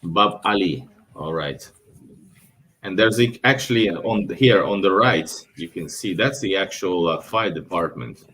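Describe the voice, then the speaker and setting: A travel vlogger with a Russian accent talks in a very low voice. He rambles in a loose, casual way, often stopping to fix his wording. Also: expressive, unhurried, sharp tone, a little hiss or hum. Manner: rambling, with restarts and self-corrections. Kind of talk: casual talk over his own video footage.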